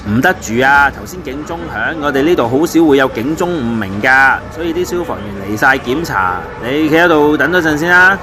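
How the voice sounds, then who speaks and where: A man's voice: on the high side, lively intonation, fairly quick, few pauses, lightly acted. A man playing a firm, officious building security guard in a radio drama, speaking Hong Kong Cantonese.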